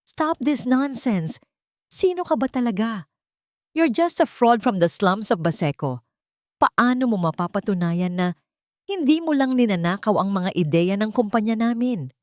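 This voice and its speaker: Scheming wealthy villainess as read by a woman narrator, sneering, commanding, raised pitch, audio drama. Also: expressive, measured pace, no echo, studio quality. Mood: sneering, outraged.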